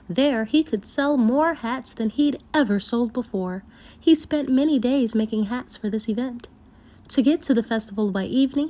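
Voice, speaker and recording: Female storyteller, higher-pitched voice, expressive and deliberate read-aloud of a folktale for kids.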